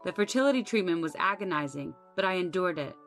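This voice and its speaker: A woman's voice: on the low side, expressive, quick pace, a bit bright, soft background music. A woman narrator voicing a wronged young wife in a story, aggrieved, emphatic and resolute. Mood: pained, resolute. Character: wronged young daughter-in-law, bitter, pained, resolute.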